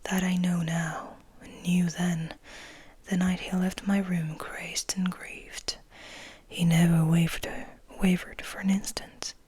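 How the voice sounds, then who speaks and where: A woman voicing a serene but bitter male vampire in a measured, formal, breathy whisper for a storybook reading. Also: on the low side, little pitch movement, a little mellow, exaggerated voice. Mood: grave.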